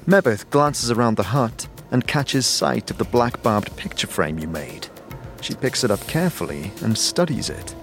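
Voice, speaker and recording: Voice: mid-range voice, animated, moderate pace, faint music behind. A narrator reading scripted second-person fantasy narration for an audio drama.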